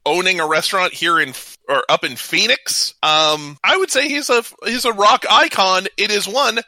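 Bright, forceful man hosting a talk show. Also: high voice, normal speed, dry recording. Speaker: radio show co-host. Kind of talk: casual talk show presenting, reading out trivia.

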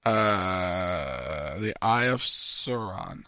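A man's voice: low voice, expressive, drawn-out words, pushed and bright, no room sound, clean studio sound. A man co-hosting a casual podcast with playful banter, often repeating words for effect between short announcements. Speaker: podcast co-host.

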